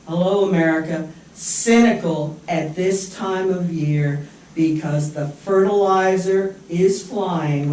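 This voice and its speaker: A man's voice: high voice, warm tone, slight background noise. An older man giving a rambling, sardonic public comment at a town meeting. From born in Japan. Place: large echoey meeting room. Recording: echoey hall from far off.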